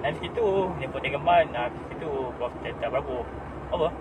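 A man's voice: mid-range voice, lively intonation, normal speed. Malaysian man in a very noisy car. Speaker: amateur radio operator in a car. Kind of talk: casual two-way radio chat. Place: inside a car on city roads. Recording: distant in-car audio, loud road noise.

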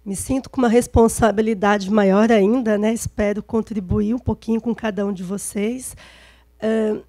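A middle-aged Brazilian woman, slightly hoarse, giving a conversational public lecture into a handheld microphone, often adding small checking tags. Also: medium pitch, fairly even intonation, normal speed, warm tone, dry recording. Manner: informal, frequent checking tags like "né". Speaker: lecturer, gerontology specialist. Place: event stage before seated audience, indoors.

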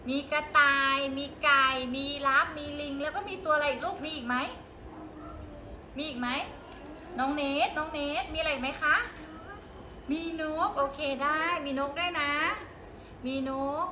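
A woman's voice: sits high, unhurried, pushed and bright, echoey room, slight background noise. A woman preschool teacher patiently coaxing small children in a classroom to make up a story together.

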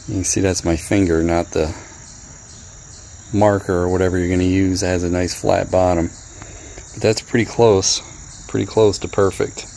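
A man's voice: deep voice, steady tone, moderate pace, gravelly, pauses often, warm tone, a little hiss or hum. Chatty man outdoors, insects behind. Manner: chatty, thinks aloud, dry jokes. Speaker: product reviewer. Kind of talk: casual hands-on product review. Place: outdoors in a driveway. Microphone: action camera worn on the body.

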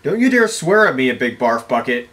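A man's voice: mid-range voice, big pitch swings, fairly quick, fairly bright tone, a little hiss or hum. A young man acting in an amateur comedy skit with exclamatory, exasperated delivery, recorded on a camera in a bedroom. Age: young adult. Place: small home bedroom, indoors.

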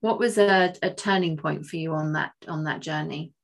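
Lower-voiced woman podcast host. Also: moderate pace, creaky. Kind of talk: interviewing a guest.

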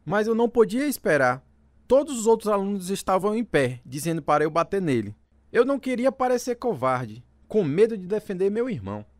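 A man's voice: high-pitched, very animated, a little fast, dry recording, studio quality. A man playing an agitated, earnest teenage boy in a radio drama. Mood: defensive.

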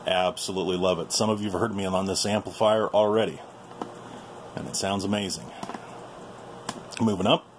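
A man's voice: deep voice, fairly even intonation, normal speed, long pauses, slight background noise. A man giving a casual, folksy tour of his workshop to viewers, recorded on a handheld camera in a small room. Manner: informal, folksy, addressing viewers. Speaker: video host and radio hobbyist. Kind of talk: casual shop tour commentary.

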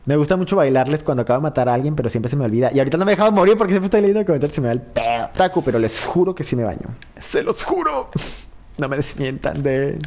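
A man's voice: creaky, a little mellow. A young Mexican man with a Mexican Spanish accent, streaming a game live and chatting playfully as he reads comments. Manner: playful, chatty, laughs often, jumps into high squeals. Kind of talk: live-stream gameplay chat reading comments.

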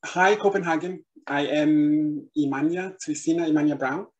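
A woman's voice: low-pitched, normal speed, a little mellow, highs cut off. Earnest Samoan-accented woman on a video call. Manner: earnest, repeats words when searching. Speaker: panel speaker. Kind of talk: prepared talk on a video call. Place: room with bookshelves indoors. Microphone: webcam on a video call.

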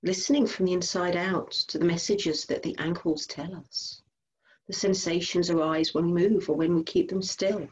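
A woman leading a guided body scan meditation as a teacher, giving gentle instructions in short phrases with unhurried pauses. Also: on the low side, fairly even intonation, muffled recording.

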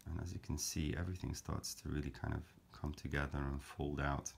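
A man calmly explaining a paper craft step by step, in a gentle, measured way with frequent fillers. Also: very deep voice, gravelly, a little hiss or hum. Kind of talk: calm step-by-step craft tutorial.

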